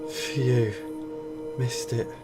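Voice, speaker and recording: A man's voice: medium pitch, steady tone, very slow. Man reading as a curious girl astronaut over music. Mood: relieved. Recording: music bed behind voice.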